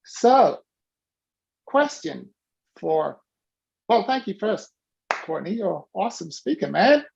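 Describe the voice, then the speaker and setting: A male business coach with a gravelly, creaky edge to his voice talks over a muffled video call. He is animated and teasing as he coaches a participant, calling them out by name. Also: high voice, slow.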